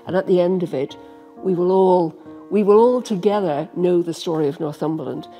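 An older woman talks in a panel discussion like a conversational storyteller. Her voice is mellow and slightly low, with faint guitar music behind it. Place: book-lined library room, seated. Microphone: microphone on a stand.

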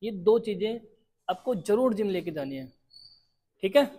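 Young male gym trainer, warm low-key voice. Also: expressive, normal speed. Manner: repeats key points, spells out steps for beginners. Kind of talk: fitness tips to camera. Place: large indoor gym.

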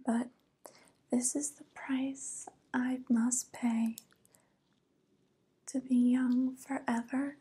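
Evil witch, played by a young woman, vain and cooing, ASMR roleplay: mellow, extremely breathy, whispered close to mic. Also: sits high, flat delivery, heavily acted. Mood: smug, sly. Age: young adult. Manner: slow, cooing, gently condescending. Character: evil youth-stealing witch, sly, vain, falsely tender. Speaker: ASMR performer. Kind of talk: soft-spoken ASMR roleplay. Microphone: close microphone.

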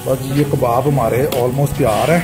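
Man, calm practical cooking explanations; loud music in the background. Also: medium pitch, poor audio. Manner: plain, unhurried instructions with polite "ji". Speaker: cook. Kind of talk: explaining cooking while grilling.

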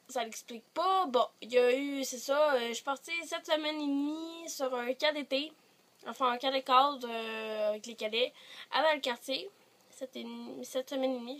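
A woman's voice: sits high, fairly bright tone. A teenage woman with a Quebec French accent, chatting to camera in her bedroom, rambling with many hesitations, a little congested from a cold. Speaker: vlogger. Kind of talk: casual chat to camera. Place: bedroom, sitting on a bed. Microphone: device camera at short distance.

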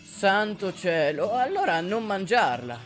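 A man's voice: sits high, sing-song, takes it slowly, over music, heavily acted. A man voicing an exasperated, short-tempered young gangster tutor in an Italian anime fan dub. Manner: exasperated, flips to screamed insults.

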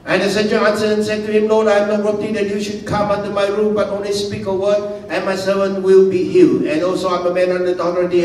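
A man, preaching a sermon on a handheld mic in a large church hall and swinging from booming shouts to quiet asides. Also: slight background noise. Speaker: pastor. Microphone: handheld microphone. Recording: echoey hall, mic far off.

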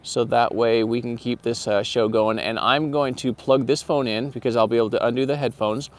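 A man's voice: mid-range voice, steady tone, brisk. A man in his forties hosting a casual livestream from an outdoor parking lot at night, talking upbeat and chatty to viewers through a phone held at arm's length. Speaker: channel host doing a livestream. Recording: faint outdoor background noise.

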